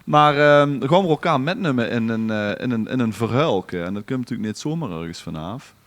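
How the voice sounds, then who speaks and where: A man's voice: mid-range voice, expressive, fairly quick, a bit bright. A man with a Limburgish Dutch accent hosting a casual podcast, chatting in a jokey way. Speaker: podcast host. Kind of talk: casual banter and chat.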